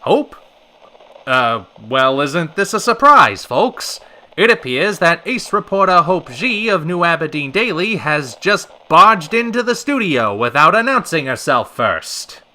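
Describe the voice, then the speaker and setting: A man acts out a smooth-talking host of a pulp-city radio hour. His male voice sits high and swings in lively arcs, with a sharp, ringing edge. He is wordy and polished, with dry sarcasm under the showmanship. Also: a little slow, heavily acted. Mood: flustered, irritated. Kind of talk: old-time radio show hosting.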